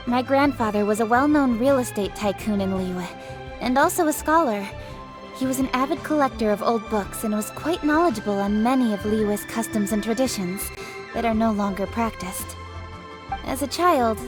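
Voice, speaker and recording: Woman playing a refined, higher-pitched young noblewoman. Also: natural voice. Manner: formal, measured, articulate. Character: refined, earnest young noblewoman official, thoughtful. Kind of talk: scripted game voice acting.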